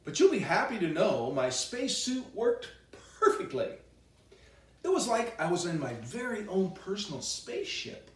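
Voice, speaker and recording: A man reading a children's bedtime story aloud in a playful, expressive way with chatty asides. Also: high voice, moderate pace. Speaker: children's author reading his own book. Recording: roomy echo, distant microphone.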